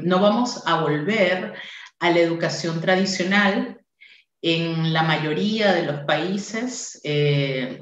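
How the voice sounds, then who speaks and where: A woman's voice: fairly low pitch, roomy sound, highs cut off. A woman in her forties, a university English teacher, giving a friendly interactive talk to a workshop with frequent "eh" fillers. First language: Spanish. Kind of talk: online conference talk.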